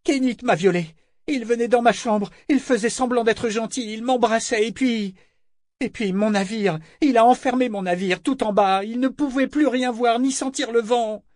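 A man voicing a traumatized young woman sailor who speaks in a frantic, rasping half-sob. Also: very high voice, moderate pace, darkish tone, dry recording, clean studio sound, voice pushed up. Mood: distraught.

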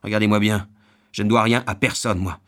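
A man voicing a gruff, self-righteous, well-off glutton in a storybook reading, scolding with loud emphasis. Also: on the low side, steady tone, brisk, natural voice.

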